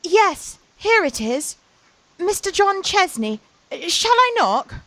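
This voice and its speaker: A woman plays a gentle young Victorian ward given to daydreams. Her high voice moves slowly through wistful, poetic phrases in period radio drama style. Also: sing-song, dry recording, exaggerated voice. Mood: dreamy, wistful.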